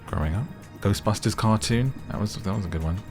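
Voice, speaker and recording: Very deep-voiced chill gaming host. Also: male voice, fairly quick. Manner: rambling, chatty, self-deprecating asides. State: slightly losing his voice. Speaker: gaming let's-play host. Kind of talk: chill casual gameplay commentary. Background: background music.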